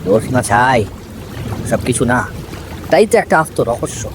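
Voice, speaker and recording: A scoffing village gossip played by a man in a story drama. He talks in an opinionated, colloquial way at a middle pitch, with clear rain and water noise around him. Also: normal speed, natural voice. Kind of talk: audio story dialogue acting.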